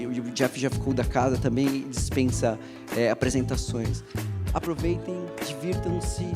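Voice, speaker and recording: A man introducing a guest on stage, excited and rambling with frequent restarts. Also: mid-range voice. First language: Portuguese. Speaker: event host.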